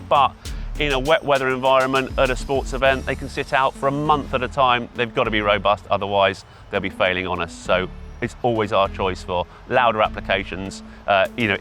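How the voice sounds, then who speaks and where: A man's voice: mid-range voice, a bit bright. A middle-aged man giving informal, explanatory answers in an interview about his trade. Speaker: event PA hire company representative, interviewee.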